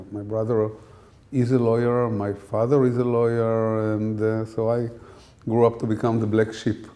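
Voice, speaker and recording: Soft-spoken Israeli man in his sixties. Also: fairly low pitch. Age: 60s. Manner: hesitant, many fillers and restarts. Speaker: interviewee, scientist. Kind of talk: reflective interview answers.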